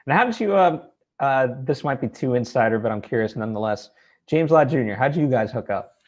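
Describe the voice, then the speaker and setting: A man's voice: medium pitch, expressive, brisk, dull audio. A man hosting an interview in a casual, reflective conversational style. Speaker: interviewer, podcast host.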